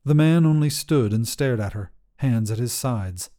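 Male narrator for short fiction, soft mellow tone, slightly low voice, lively intonation. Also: moderate pace. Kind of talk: scripted short story narration.

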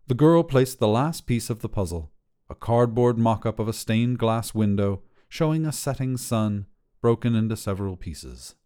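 A man narrates a piece of short fiction in a warm, mellow voice. His delivery is that of a literary storyteller, measured and scripted, at a medium pitch.

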